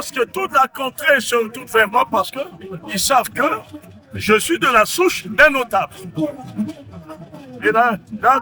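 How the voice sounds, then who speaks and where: Male prophet-preacher, Central African French accent, emphatic sermon delivery, sharp voice. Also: very high voice, rough recording. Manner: emphatic, proclaiming, repeats phrases.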